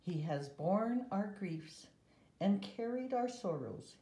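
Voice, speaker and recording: Slow, mellow woman reading a storybook aloud. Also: mid-range voice, lively intonation, roomy sound. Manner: measured, deliberate phrasing with pauses. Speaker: storybook reader. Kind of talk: slow read-aloud of a children's Bible picture book.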